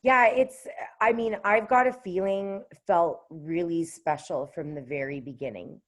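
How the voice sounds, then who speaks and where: A woman with a low contralto voice, a singer-songwriter talking casually in an interview, often pausing with fillers and restarting her sentences. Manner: hesitant, with many fillers and restarts.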